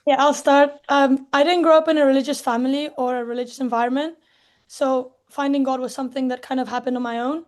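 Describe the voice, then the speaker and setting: A young woman student raised in Spain and England, speaking softly and reflectively as she gives a personal faith testimony. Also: high voice, fairly even intonation, fast. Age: young adult.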